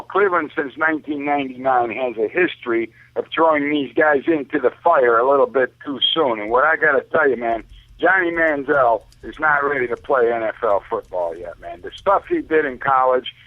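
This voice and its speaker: A man's voice: medium pitch, a little fast, no room sound. A man giving opinionated sports talk over a narrow phone line, speaking emphatically and often addressing his listener as "man". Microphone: telephone.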